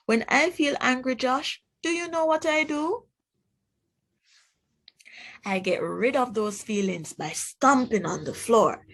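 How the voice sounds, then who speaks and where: Woman as a patient, slow-speaking storybook mom. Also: medium pitch, full character voice. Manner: gentle, reassuring, measured. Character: kind, patient storybook mother soothing her son.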